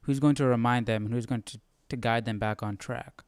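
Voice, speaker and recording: A man's voice: mid-range voice, fairly even intonation, fairly quick, a little mellow, clean tone, no room sound, clean studio sound. A man hosting a Bible study livestream with casual, conversational commentary, repeating phrases for emphasis.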